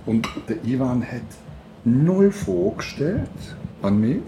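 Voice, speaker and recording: A man's voice: medium pitch, very animated, slow pace, background noise. A man from Switzerland chatting and reminiscing casually as an interviewer in conversation. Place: at a table with food being served. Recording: clear clatter of dishes and cutlery.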